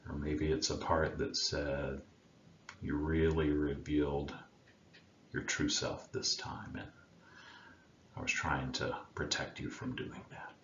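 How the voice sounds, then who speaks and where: Very deep, slow man guiding meditation. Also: little pitch movement. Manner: gentle, soothing, unhurried with long pauses. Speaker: meditation guide, coach. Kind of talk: guided meditation. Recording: roomy, dull sound with faint background noise.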